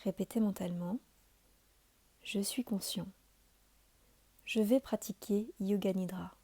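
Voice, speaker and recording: A woman giving calm, soothing guided meditation instructions as a yoga nidra teacher, in a deliberate, measured delivery. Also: mid-range voice, slightly rough.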